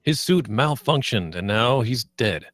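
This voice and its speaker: A man's voice: medium pitch, expressive, unhurried, fairly bright tone, no room sound, clean studio sound. A man playing a blunt, irritable spaceship captain in a sci-fi comedy table read. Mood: commanding, irritable.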